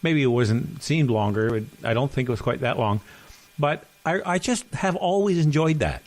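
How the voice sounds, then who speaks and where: A middle-aged man co-hosting a chat podcast, voice sitting at an ordinary middle pitch. He rambles through his stories, correcting himself as he goes, and now and then he coughs. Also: expressive, moderate pace. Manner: rambling, self-correcting storyteller. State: occasional cough. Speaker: podcast co-host. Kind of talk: casual chat.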